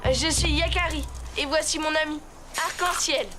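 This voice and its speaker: Woman voicing a high-pitched, earnest cartoon boy. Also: steady tone, normal speed, noisy background, natural voice. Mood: earnest, hesitant. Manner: earnest, youthful. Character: brave, earnest young Sioux boy. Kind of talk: animated series acting. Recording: loud music underneath.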